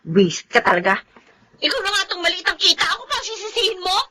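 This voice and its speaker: A woman's voice: extremely high pitch, wildly swooping pitch, quick pace, pushed and bright, slight background noise, exaggerated voice. A woman playing a materialistic, discontented young wife in a melodramatic Filipino radio drama, whiny and coquettish. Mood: indignant, nagging.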